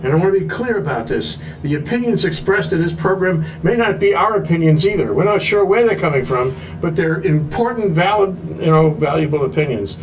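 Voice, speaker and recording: A man's voice: fairly high pitch, lively intonation, fairly quick, hardly any pauses, slight background noise, rough recording. Jokey rambling male talk show host. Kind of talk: live studio talk show.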